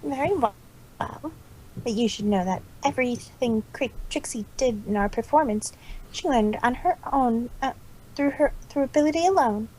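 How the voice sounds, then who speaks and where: A woman's voice: fairly high pitch, normal speed, a little mellow, slight background noise, close to an everyday voice, poor audio. A woman voicing a proud, easily wounded female cartoon pony magician with a grandiose manner, in amateur fan-fiction style. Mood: proud, recovering. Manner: grandiose, refers to herself in third person.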